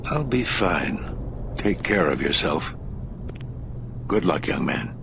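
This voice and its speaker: An actor playing a kindly, reclusive older scientist in a sci-fi game, musing and avuncular. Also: male voice, sits low, expressive, takes it slowly, vocal fry, background noise, heavily acted. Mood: reassuring. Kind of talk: acted dialogue in a sci-fi game cutscene.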